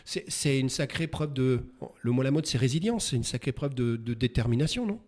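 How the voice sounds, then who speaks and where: A man's voice: mid-range voice, animated, a little fast, darkish tone. A man hosting a casual interview, chatty, with many repeated words and fillers. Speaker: host. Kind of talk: casual interview, warm banter.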